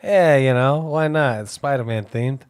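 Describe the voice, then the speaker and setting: A man in loose, profane banter, making dark jokes and chuckling at them. His voice sits in an ordinary middle register. The sound is dry and close, with no room around it. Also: mid-range voice, moderate pace, smooth, no room sound. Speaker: co-host. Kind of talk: crude comedic banter.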